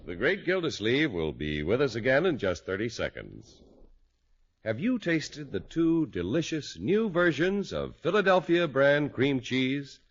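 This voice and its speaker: Very animated 1950s American radio announcer man. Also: medium pitch, measured pace, slight background noise. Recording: dull vintage radio broadcast recording.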